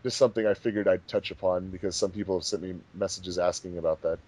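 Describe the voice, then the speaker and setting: Low-voiced man in casual commentary. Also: steady tone, quick pace, a little mellow. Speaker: fandub director and commentator.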